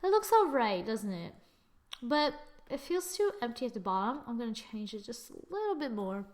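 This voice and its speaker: A woman hosting a screen-recorded software tutorial, chatting as she works and correcting herself with little exclamations. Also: on the high side, very animated, moderate pace. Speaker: tutorial host.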